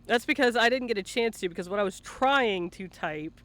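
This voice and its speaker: A man's voice: sits high, animated, a little fast, a bit bright. A man hosting a casual live stream as interviewer, teasing and swearing playfully, now and then slipping into sing-song asides. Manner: playful teasing, profane banter. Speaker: interviewer and livestream host.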